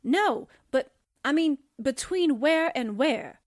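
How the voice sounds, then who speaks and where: An actress playing a naive, eager newspaper sidekick in a 1940s-style radio drama, with a folksy, wide-eyed, drawn-out delivery. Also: female voice, high voice, expressive, no room sound, clean studio sound. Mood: puzzled. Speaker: voice actor in an audio drama.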